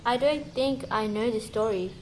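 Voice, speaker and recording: A woman's voice: on the high side, moderate pace, a little mellow, lightly acted. A female performer playing an eager, curious girl lost in a storybook in an amateur school radio play. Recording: noisy, birdsong and ambience behind.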